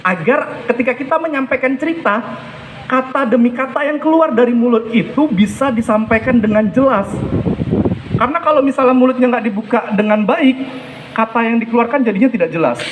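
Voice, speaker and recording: A man's voice: extremely high pitch, brisk, noisy background. A man giving explanatory, emphatic spoken feedback as a storytelling coach, repeating his key points.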